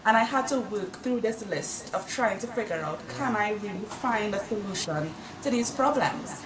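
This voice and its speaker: A woman from Trinidad and Tobago, a podcast guest explaining her consulting work in a measured, earnest way. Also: medium pitch, lively intonation. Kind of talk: casual interview. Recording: roomy, distant mic with crowd noise.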